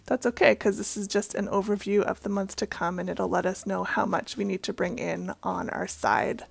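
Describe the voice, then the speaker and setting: A woman from Canada with a slightly hoarse, raspy voice, hosting a budgeting video and chatting casually as she works through her paperwork. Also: medium pitch, fairly even intonation, normal speed. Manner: friendly, chatty with frequent ums and soft asides. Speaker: budgeting channel host. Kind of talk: casual talk-through while working on paper.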